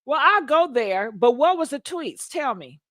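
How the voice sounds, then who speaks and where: A woman's voice: high voice, big pitch swings, a little slow, a bit bright, a little hiss or hum. A woman hosting a casual gossip chat with playful, brash, slangy banter. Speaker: gossip show host.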